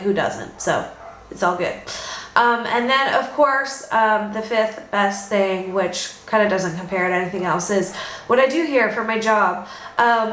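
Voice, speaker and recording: A woman's voice: mid-range voice, a bit bright. A young woman with a Californian accent chatting casually and informally in an improvised vlog, peppering her talk with "like" and little asides. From Los Angeles, United States. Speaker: vlogger. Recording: echoey room with some background noise.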